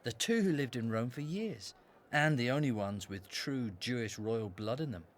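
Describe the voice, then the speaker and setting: A sardonic, gossipy priest played by a man in a radio drama. He is wry and knowing, in a mid-pitched voice that rises and dips freely. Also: lively intonation, moderate pace, lightly acted. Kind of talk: radio play acting.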